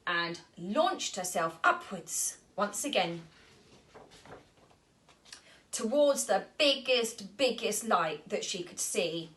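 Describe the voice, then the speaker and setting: A woman's voice: mid-range voice, expressive, takes it slowly, long pauses, fairly bright tone. A woman storyteller reading a children's story aloud expressively.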